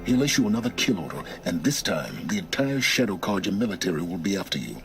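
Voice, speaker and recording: A low voice over clear music, as a cryptic middle-aged informant in a video game. He is imperious and measured, a schemer who gives orders and keeps secrets. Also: very animated. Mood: grave, explaining. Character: secretive, commanding male informant serving his own interests. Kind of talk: dramatic video game acting. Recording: music behind the voice.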